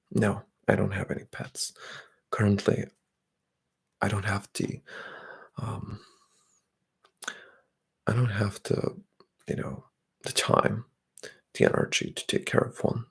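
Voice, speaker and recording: Man, ASMR livestream chat, half-whispered, deep gravelly fry, breathy. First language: Bulgarian. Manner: soft-spoken, drifting into whispers. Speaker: ASMR streamer.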